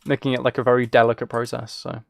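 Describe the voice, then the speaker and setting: British man, mid-pitched voice, noticeable vocal fry, game streamer, informal chat, thinking out loud. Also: level tone, fast, no room sound. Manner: informal, rambling, musing aloud. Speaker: game streamer chatting with viewers. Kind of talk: casual chat while playing a game.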